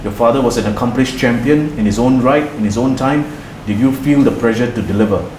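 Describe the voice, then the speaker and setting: A man's voice: medium pitch, brisk, darkish tone. Male interviewer, off-mic, roomy echo, faint noise, short plain questions. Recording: roomy and distant with light noise.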